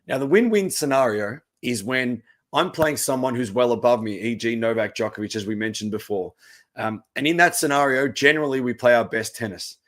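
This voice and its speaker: A man, a tennis coach, giving a relaxed presentation with a croaky voice. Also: mid-range voice, a little fast. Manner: steady explaining, relaxed. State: hoarse.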